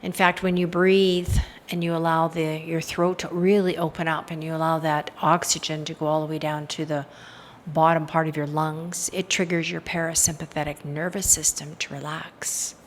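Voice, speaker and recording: A woman leading a guided meditation in a soothing, hypnotic style, with soft trailing phrase endings. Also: sits low, flat delivery, moderate pace, hardly any pauses, a little hiss or hum.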